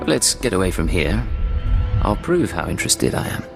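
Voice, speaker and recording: Game secret agent, very low voice, lively intonation, suave, confident, music behind. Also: male voice, moderate pace, vocal fry, exaggerated voice. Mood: smooth, flirtatious. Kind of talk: video game voice acting.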